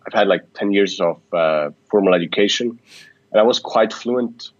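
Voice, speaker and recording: A man from Switzerland, answering interview questions in a relaxed, thoughtful way with frequent restarts. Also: lowish voice, normal speed, no echo, muffled recording. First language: Swiss German. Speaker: guest. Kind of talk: podcast interview.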